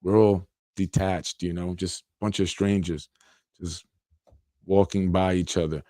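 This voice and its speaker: Earnest lower-voiced man in his forties. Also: flat delivery, measured pace, dark tone, dry recording, clean studio sound. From Yonkers, New York. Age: 40s. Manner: earnest, plainspoken, repeats phrases with many "you know"s. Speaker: podcast host. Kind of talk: solo podcast monologue.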